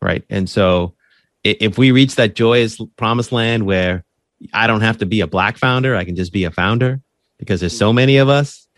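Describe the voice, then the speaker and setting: A man's voice: on the low side, moderate pace, no echo, clean studio sound. A man talking in a casual interview about running his podcast, earnest and conversational, often checking in with "right?" and restarting his phrases. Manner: frequent restarts and repeated words. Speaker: podcast host interviewed as guest.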